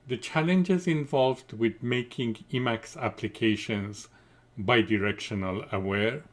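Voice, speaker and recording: A middle-aged man, a Farsi speaker, giving a formal, measured scripted technical conference presentation. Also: mid-range voice. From Iran. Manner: measured, deliberate, formal delivery.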